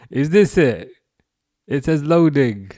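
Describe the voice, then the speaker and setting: A man's voice: a little high, animated, very slow, darkish tone, dry recording, studio quality. A young man from England with an English accent, hosting a casual football livestream and chatting with viewers in a rambling way, full of restarts. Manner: rambling, repeats words and restarts, reads chat aloud. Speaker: livestream host.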